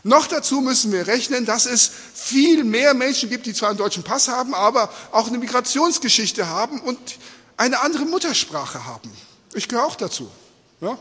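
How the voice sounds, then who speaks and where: A man with Spanish as his mother tongue preaches in an informal, questioning way. His voice sits high and swings widely in pitch, with a rough, husky grain. It rings through a reverberant hall. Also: animated, normal speed, sharp tone. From Australia-born, mother from Peru, living in Germany. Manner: engaged, questioning, often adding rhetorical check-ins. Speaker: preacher addressing a congregation. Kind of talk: informal, conversational sermon in simple words. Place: large echoing indoor hall, speaking to an audience.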